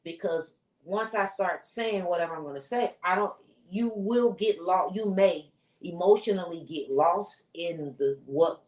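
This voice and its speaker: A woman with a loud, strong voice, chatting bluntly and emphatically as a podcast co-host. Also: lowish voice, a little slow. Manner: blunt, emphatic, repeats herself. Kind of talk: casual chat. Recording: echoey room, far from mic.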